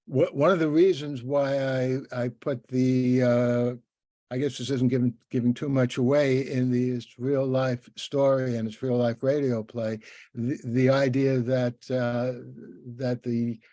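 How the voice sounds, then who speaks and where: An older man hosting a casual interview, talking in a halting, rambling way with many restarts. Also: mid-range voice, little pitch movement, warm tone, dry recording. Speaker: interviewer, podcast host.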